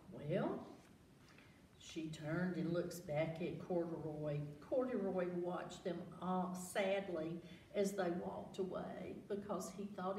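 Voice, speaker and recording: A woman's voice: fairly low pitch, dark tone. A woman hosting a read-aloud storytime for young children, asking questions and repeating words for them. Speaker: library storytime host. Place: echoing room with children present. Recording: roomy, distant mic with background noise.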